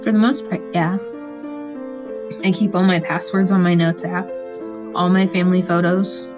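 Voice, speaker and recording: A woman's voice: fairly low pitch, flat delivery, measured pace, natural voice. A woman playing a friendly, chatty young interviewer in a radio play. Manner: casual, chatty. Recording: muffled, music underneath.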